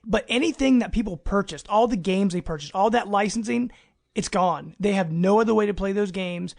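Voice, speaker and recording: A man's voice: high voice, a little fast, vocal fry. A man hosting a casual podcast, talking in an enthusiastic, informal way. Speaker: podcast host. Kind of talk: casual gaming podcast chat.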